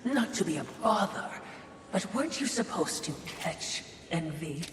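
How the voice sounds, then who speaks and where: A woman's voice: on the low side, big pitch swings, unhurried, a little hiss or hum. A woman voicing a servile, sly watcher spirit in a video game, deferential and hesitant. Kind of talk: video game cutscene acting. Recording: echoey hall reverb, music behind.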